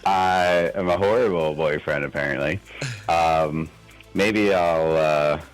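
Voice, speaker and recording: A man's voice: very deep voice, takes it slowly, smooth, faint music behind, low-quality recording. A man calling in to a radio show for a casual chat, laid-back and dryly joking.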